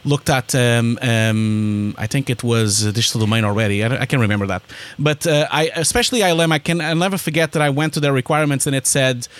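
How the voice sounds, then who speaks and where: A man's voice: mid-range voice, quick pace. Man telling his story. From Portugal. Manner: animated rambling storyteller with frequent restarts. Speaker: podcast guest. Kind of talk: casual interview chat.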